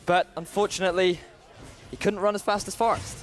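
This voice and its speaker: A man's voice: high-pitched, fairly quick, a little hiss or hum. A man with a Welsh accent giving color commentary on an esports match, laughing often as he breaks down plays. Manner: laughs often, excitable play analysis. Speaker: esports caster. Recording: music bed under commentary.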